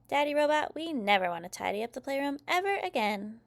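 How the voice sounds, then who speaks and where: A woman's voice: high-pitched, big pitch swings, fairly quick, vocal fry, pitched above the performer's own voice, exaggerated voice. A woman voicing a bossy, playful little girl puppy from a cartoon storybook, exclaiming and pleading.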